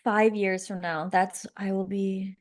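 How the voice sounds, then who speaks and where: A woman in her thirties co-hosts a podcast in relaxed, bubbly chat, often laughing and restarting her sentences. Her voice sits in an ordinary middle range. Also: mid-range voice, unhurried. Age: 30s. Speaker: podcast co-host. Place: San Diego.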